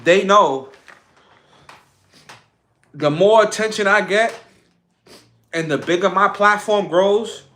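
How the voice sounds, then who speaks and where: A man's voice: high voice, slow, pauses often, a bit bright. A man giving an impassioned, boastful livestream monologue, speaking emphatically and repeating phrases for effect. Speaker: social media content creator on a livestream.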